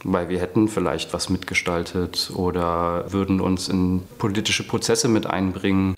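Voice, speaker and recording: A man from eastern Germany, a bookseller interviewed in a TV report, speaking reflectively with thoughtful pauses. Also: deep voice, little pitch movement. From Wurzen, eastern Germany.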